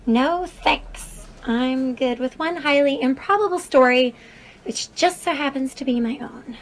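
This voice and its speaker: Young woman, high pitch, earnest, wary, questioning, hesitant, audio drama dialogue. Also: unhurried, poor audio. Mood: squeamish, wary.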